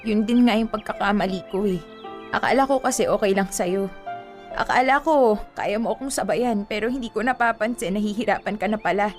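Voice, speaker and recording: A woman's voice: medium pitch, fairly quick, natural voice. A woman acting a remorseful young fiancée with a Filipino accent in an emotional, pleading radio drama. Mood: apologetic, self-blaming. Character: remorseful young fiancée making up with her boyfriend. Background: music behind the voice.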